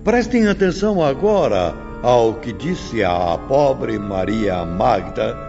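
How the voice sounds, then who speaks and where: An actor playing a grave spiritual instructor who narrates a cautionary tale to apprentices in an audiobook drama. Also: male voice, mid-range voice, very animated, takes it slowly, gravelly, exaggerated voice. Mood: grave, admonishing. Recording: dull lo-fi audio with music. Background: music.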